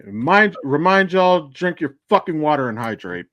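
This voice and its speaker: A man's voice: a little high, very animated, moderate pace, fairly bright tone, no echo, lo-fi sound. An American man co-hosting a podcast in casual, rambling, sweary chat. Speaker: podcast co-host and voice actor.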